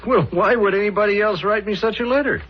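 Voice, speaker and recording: A man's voice: high-pitched, quick pace, slightly rough. An actor playing a chatty, eager male newspaper columnist in a 1970s radio drama, folksy and a little hesitant, on a dull old recording. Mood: eager, proud.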